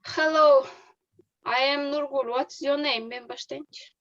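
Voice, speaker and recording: A woman's voice: sits high, steady tone, normal speed, fairly bright tone, no room sound, muffled recording. A woman in her thirties with a Kyrgyz accent, a student practising simple English dialogue. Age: 30s.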